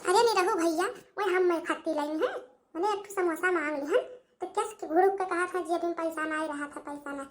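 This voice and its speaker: A woman's voice: extremely high pitch, a little fast. A woman voicing a feisty, easily offended village wife in a cartoon comedy, with an Awadhi accent and a rustic scolding manner. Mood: indignant.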